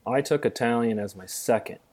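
A man's voice: mid-range voice, a little fast, a little mellow, slight background noise. A middle-aged man co-hosting a casual podcast discussion, rambling a bit with self-deprecating humor. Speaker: podcast co-host, music theory teacher.

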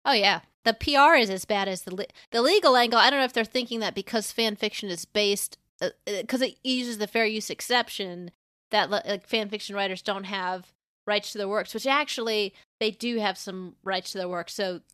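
A woman podcast co-host with a clear, smooth voice of medium pitch talks casually. She rambles informally as she thinks aloud, and the sound is very dry. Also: normal speed, bright, forceful tone, no echo.

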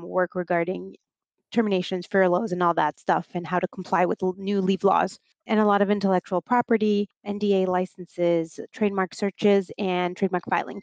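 A woman's voice: medium pitch, no room sound, highs cut off. A woman in her forties from southern France, whose first language is French, explaining her business law practice with frequent restarts. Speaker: guest, business attorney. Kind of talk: interview monologue.

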